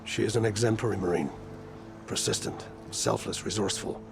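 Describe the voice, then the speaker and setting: An actor playing a weary, proud older admiral of a stranded fleet in a video game, speaking in a formal, grave, wry way. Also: male voice, lowish voice, fairly quick, vocal fry, background noise. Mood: grave, measured. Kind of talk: cinematic video game acting. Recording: music behind the voice.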